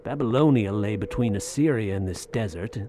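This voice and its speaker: An actor playing a bold, greedy Western soldier of fortune in an audio drama, speaking in halting, theatrical phrases. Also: male voice, on the low side, noisy background, natural voice. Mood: awed.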